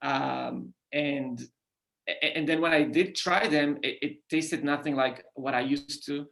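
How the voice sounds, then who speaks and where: A man from Israel with an Israeli accent, a company founder answering podcast questions in halting speech with many fillers and restarts. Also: fairly high pitch, fairly even intonation, vocal fry, fairly bright tone. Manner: halting, frequent "uh" fillers and repeated words. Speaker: guest founder. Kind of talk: podcast interview.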